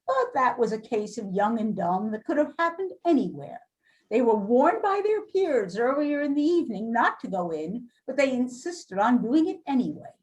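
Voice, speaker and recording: A woman's voice: fairly high pitch, very animated, moderate pace, poor audio. A woman playing a defensive, businesslike school administrator in an amateur readers theater reading.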